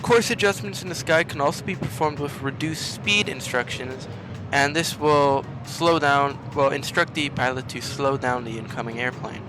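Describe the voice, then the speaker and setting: Male gaming host, mid-pitched voice, instructional step-by-step walkthrough delivery, clear music bed underneath. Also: normal speed, hardly any pauses, poor audio. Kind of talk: game tutorial commentary.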